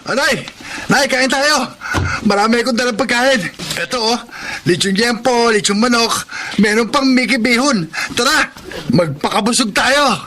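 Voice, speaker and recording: Resentful Filipino teen son, radio drama, piercing high voice, forceful, contemptuous, slight noise. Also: male voice, moderate pace. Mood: excited. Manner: scornful, demanding. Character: insolent, resentful seventeen-year-old son turned robber.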